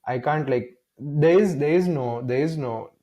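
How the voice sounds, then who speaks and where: A man's voice: fairly high pitch, a little slow, lo-fi sound. A young man from India, a guest in an interview, telling stories animatedly, acting out little dialogues and often restarting his sentences. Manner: animated storytelling, acts out dialogue, many restarts. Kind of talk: casual conversational interview.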